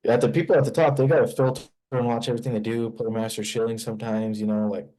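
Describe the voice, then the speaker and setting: A man with a mellow, dark voice at a middle pitch, speaking on a video call from home. He talks bluntly and swears casually. He slips in jokes with a straight delivery. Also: steady tone, quick pace, low-quality recording. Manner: blunt, joking, profane. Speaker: podcast co-host. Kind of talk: casual chat.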